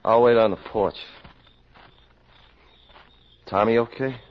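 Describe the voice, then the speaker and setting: An American actor playing a weary, self-reproaching public health doctor and husband in a 1950s radio drama. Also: male voice, mid-range voice, fairly even intonation, takes it slowly, close to an everyday voice. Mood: tired, contrite. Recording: dull lo-fi 1950s radio recording.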